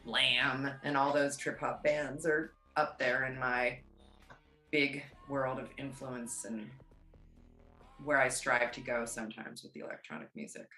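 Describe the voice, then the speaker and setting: A woman singer who grew up near Pittsburgh, chatting casually and at length in an interview. She has a low, strong rock voice. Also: a little slow, soft background music. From USA, outside Pittsburgh. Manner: chatty, animated, rambling. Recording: roomy echo.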